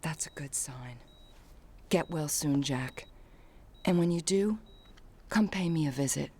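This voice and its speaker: An actress playing a poised, vengeful young heiress in a video game, cool and dry in delivery. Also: female voice, sits low, measured pace, darkish tone, husky. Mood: wry, businesslike. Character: poised, sardonic, vengeful young heiress, murdered man's daughter. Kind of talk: video game cutscene acting.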